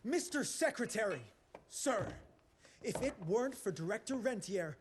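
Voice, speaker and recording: A man's voice: sits high, animated, moderate pace, slight background noise. A man playing an eager, nervous, brainy sidekick in a video game, deferential and easily flustered. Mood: eager, awkward. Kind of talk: video game cutscene acting.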